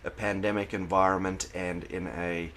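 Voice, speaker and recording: A middle-aged man with a South African accent speaks in a low, steady voice. He answers in a thoughtful, unhurried way and pauses often with fillers. A faint hiss sits under the recording. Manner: thoughtful, hesitant with fillers and restarts. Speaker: pastor, interview guest. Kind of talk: casual interview conversation.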